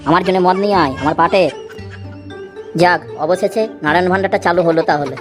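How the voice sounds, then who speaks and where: A man's voice: sits high, fast, a bit bright, soft background music. A man voicing a boastful village husband in a comedy cartoon, swaggering and giving orders. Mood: gloating. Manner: swaggering, imperious. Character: boastful village husband lording over his wife. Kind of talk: Bengali comedy cartoon dialogue.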